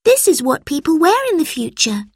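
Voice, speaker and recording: A woman's voice: high voice, sing-song, fairly quick, a little mellow, no echo, clean studio sound, voice pushed up. A woman voicing a curious, uneasy young girl in a storybook reading.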